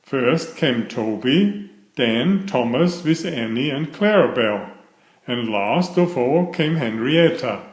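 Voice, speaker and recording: A man's voice: mid-range voice, animated, slow pace, echoey room, poor audio. A man narrating a children's story, reading aloud from a script.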